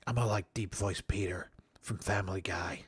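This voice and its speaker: A young man with a husky voice and a sore throat, chatting casually and reading game dialogue aloud. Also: low voice, steady tone, moderate pace. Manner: chatty, easily amused. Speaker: streamer. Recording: game music behind voice.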